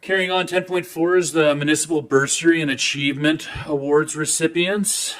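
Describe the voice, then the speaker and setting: A man chairing a council meeting, moving through motions in a brisk, friendly, procedural way. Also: on the high side, steady tone, a bit bright. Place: council chamber.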